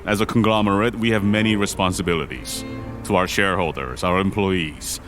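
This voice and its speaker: An actor playing a grave, measured older business magnate father in an audio drama, speaking with reserved weight. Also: low voice, music in the background, close to an everyday voice.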